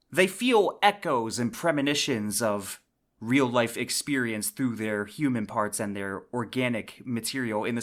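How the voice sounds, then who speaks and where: A man's voice: medium pitch, fairly bright tone. A man hosting a podcast, giving semi-scripted book commentary in a conversational, explanatory way with casual asides. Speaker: podcast host.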